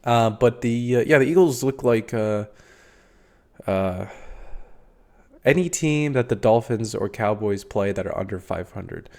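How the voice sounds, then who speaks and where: Young male podcast host, stuffy nose, lower register, loose filler-heavy sports chat. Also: expressive, a little slow, vocal fry, pauses often, a little mellow. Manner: rambling, filler-heavy, swears casually. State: congested. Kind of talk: casual solo sports talk.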